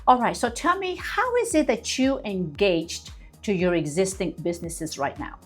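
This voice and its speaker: A woman's voice: medium pitch, sing-song, moderate pace, breathy edge, soft background music. A woman hosting a podcast interview in a studio, gushing and effusive, heaping compliments on her guest. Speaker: podcast host.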